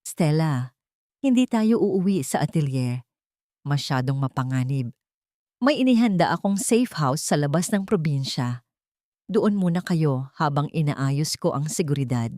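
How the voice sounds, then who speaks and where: A woman's voice: sits low, animated, unhurried, warm tone, dry recording, studio quality. A woman voicing a stern, mysterious male lawyer who speaks as a guarded protector in a story drama. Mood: grave, guarded.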